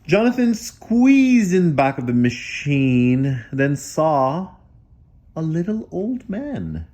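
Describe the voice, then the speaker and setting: A man reading a children's storybook aloud in an animated style, breaking off for wry, casual asides. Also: fairly high pitch, takes it slowly. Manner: wry, digressive commentary. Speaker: storybook reader.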